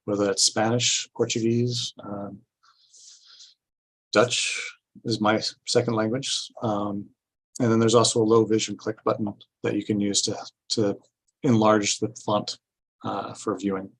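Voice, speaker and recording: A man giving an informational presentation at a public meeting, explaining things step by step in a halting way. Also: on the low side, flat delivery, breathy edge, rough recording. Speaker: city staff presenter at a grant orientation.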